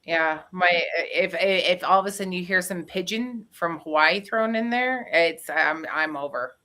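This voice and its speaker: A woman's voice: on the low side, a little slow, pushed and bright. A woman co-hosting a casual live chat, talking in a chatty, looping way with frequent restarts. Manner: chatty, restarts often, laughs between lines. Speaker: co-host.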